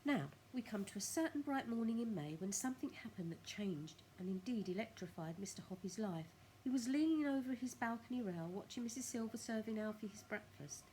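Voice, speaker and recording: Animated, higher-pitched woman reading a story. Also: fairly quick, hardly any pauses, slight background noise, poor audio. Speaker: primary school storyteller. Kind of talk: expressive children's story narration.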